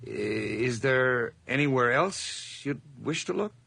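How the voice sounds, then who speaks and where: A man's voice: mid-range voice, animated, slow pace, vocal fry, sharp tone, a little hiss or hum, exaggerated voice. An actor playing a nervous, over-polite hired man hiding guilt, stammering and effusive in an old radio horror drama. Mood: nervous, eager.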